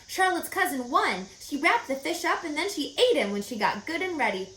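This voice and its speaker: A woman's voice: high-pitched, very animated, normal speed, fairly bright tone, pitched above the performer's own voice. A woman voicing an imaginative, earnest little farm girl who tells her storybook tales eagerly and insistently. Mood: enthusiastic. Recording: echoey room, faint background noise.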